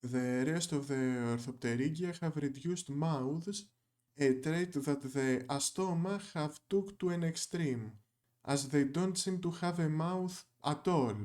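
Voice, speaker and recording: A man's voice: fairly high pitch, a little mellow. A young man whose first language is Greek, narrating a scripted video essay with dry, wry asides.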